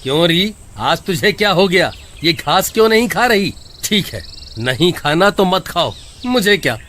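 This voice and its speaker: A man playing a greedy, grumpy village milkman in an animated story, scolding and complaining in an exaggerated way. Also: high voice, normal speed. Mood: grumbling. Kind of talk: animated Hindi moral story dialogue. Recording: noisy track, cattle and cricket sounds.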